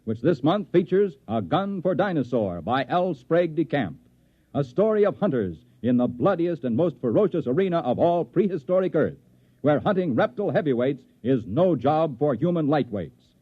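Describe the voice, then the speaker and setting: A man's voice: on the high side, sing-song, moderate pace, husky, no echo. A man with an American accent reading scripted announcements in 1950s radio style. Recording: dull 1950s radio recording. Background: faint music.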